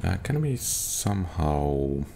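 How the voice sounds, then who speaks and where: A man's voice: low voice, big pitch swings, slow pace, vocal fry, dark tone, a little hiss or hum. A man giving casual gameplay commentary, muttering as he thinks aloud. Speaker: gaming video host.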